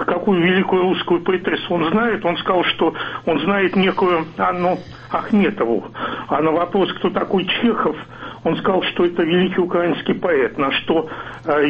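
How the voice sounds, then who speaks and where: A man's voice: sits high, normal speed, dull audio, slight background noise. A man from Moscow calling in to a radio show, ceremonious and hesitant with many ums. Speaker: caller. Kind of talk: radio call-in.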